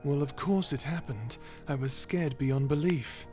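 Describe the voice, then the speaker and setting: Edgy male vampire, played by a woman: deep for the performer, smug drawl, dry sarcasm, audio drama. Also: measured pace, darkish tone, soft background music. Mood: incredulous, sarcastic. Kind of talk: character audio roleplay.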